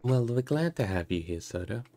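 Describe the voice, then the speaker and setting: A man with a creaky voice, hosting a livestream where he chats casually and reads a book aloud expressively. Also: mid-range voice, expressive, moderate pace, warm tone. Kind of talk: casual livestream chat and expressive storybook narration.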